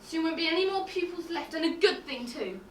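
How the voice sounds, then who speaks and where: A woman playing a sharp-tongued, sarcastic housekeeper who scolds her employer. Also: extremely high pitch, lively intonation, brisk. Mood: scolding. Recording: roomy stage sound from far off with noise.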